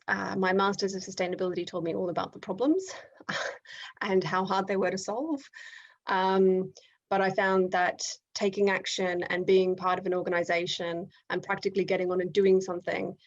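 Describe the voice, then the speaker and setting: An Australian woman hosts an online event over a video call, with many ums and self-corrections as she goes. Her voice sits mid-pitch. The audio is a little dull and lo-fi. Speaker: event host.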